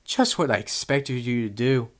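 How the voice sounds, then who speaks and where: A man's voice: medium pitch, expressive, moderate pace, faint music behind, lightly acted. Man voicing a menacing, sneering villain duelist. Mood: sinister, gloating. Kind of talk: fan audio drama acting.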